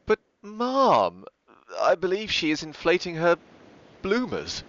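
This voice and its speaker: A man playing a dignified, deferential butler with a formal, deadpan manner in a comic audio drama. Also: high voice, slow pace, no room sound, exaggerated voice. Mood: flustered. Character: dignified, deferential male butler, easily flustered. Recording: dull lo-fi podcast recording.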